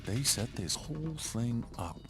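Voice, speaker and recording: A man's voice: medium pitch, lively intonation, a little slow. An actor playing a frightened male corporate administrator in a video game, pleading and insistent. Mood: urgent, insistent. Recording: game audio under loud music.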